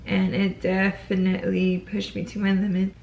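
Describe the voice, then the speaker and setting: A woman's voice: medium pitch, monotone, normal speed, vocal fry, a little mellow, echoey room, highs cut off, slight background noise. A woman in her twenties talking casually to the camera in a yearly check-in video, chatty and a bit hesitant, with frequent ums. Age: 20s. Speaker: YouTuber.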